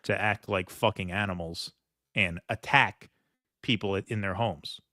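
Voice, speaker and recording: A man's voice: low voice, a little slow, sharp tone, no room sound, clean studio sound. A man hosting a political livestream, reading news articles aloud with casual asides, many fillers and indignant remarks. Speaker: political commentary host.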